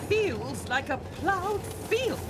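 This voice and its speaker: Man as a shrill, high grumbling English old woman. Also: sing-song, drawn-out words, exaggerated voice. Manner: complaining. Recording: loud vehicle noise behind.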